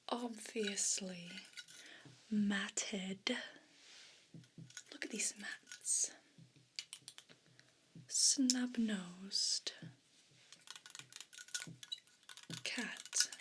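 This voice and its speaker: Woman as a haughty whispering cat, very slow. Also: medium pitch, a bit bright, slight background noise. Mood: disdainful, insulted. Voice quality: breathy whisper. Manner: pompous, formal, slow and deliberate. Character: haughty, offended evil pet cat airing complaints. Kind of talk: whispered ASMR role play.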